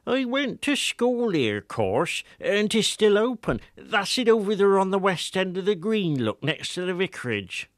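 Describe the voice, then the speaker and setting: Very old country fellow, Wiltshire accent, raised pitch, boastful, stubborn, audiobook drama. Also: male voice, very animated, unhurried, few pauses, no room sound, studio quality. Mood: gleeful reminiscing. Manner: rustic dialect, boastful. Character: obstinate very old male villager, rustic storyteller. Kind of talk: dramatised audiobook character acting.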